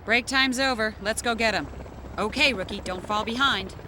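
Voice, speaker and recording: An actress plays a cool cyborg woman who leads an anime game squad. Her voice sits a little high and she speaks in brisk commands. There is noticeable noise behind her. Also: close to an everyday voice. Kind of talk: English anime game dub acting.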